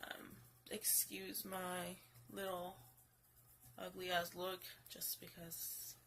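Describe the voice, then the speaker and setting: A young woman telling a story in a casual vlog, rambling with fillers and restarts, her voice a little raspy because she is getting sick. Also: fairly low pitch, little pitch movement, slow pace, a bit bright, a little hiss or hum. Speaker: vlogger. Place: home, alone. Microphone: phone camera.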